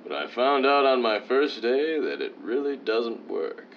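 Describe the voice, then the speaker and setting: Vain radio leading man, male actor: pompous, jovial, condescending, very animated pitch, old-time radio comedy, slightly noisy dull recording. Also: measured pace. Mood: avuncular. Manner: pompous, theatrical, swooping emphasis. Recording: dull lo-fi sound, faint noise.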